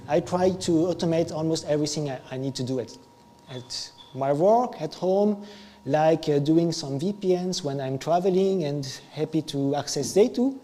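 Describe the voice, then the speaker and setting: A man's voice: fairly high pitch, warm tone. A man whose first language is French gives an improvised, casual technical talk at a meetup, self-deprecating and often restarting his sentences. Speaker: meetup speaker. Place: meetup venue, large hall. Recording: live stream with long hall reverb.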